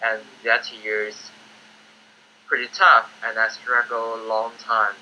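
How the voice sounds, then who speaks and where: A teenage boy from China, speaking his second language, who gives hesitant interview answers in simple, halting English. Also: on the low side, level tone, sharp tone, highs cut off, slight background noise. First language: Chinese. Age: teens. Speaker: student applicant.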